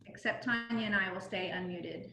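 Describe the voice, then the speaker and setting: A woman's voice: mid-range voice, steady tone, normal speed, pushed and bright. A middle-aged woman from Southern California gives a casual cooking-product demonstration over a video call from home. She talks in a chatty, informal way, with many ums and restarts. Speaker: direct-sales cooking consultant. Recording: roomy video-call audio.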